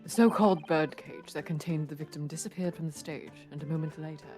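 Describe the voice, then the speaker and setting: A woman's voice: low-pitched, music in the background, natural voice. Woman doing an accented official briefing the court. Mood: explaining. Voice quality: loose Spanish-Italian put-on accent. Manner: measured, explanatory.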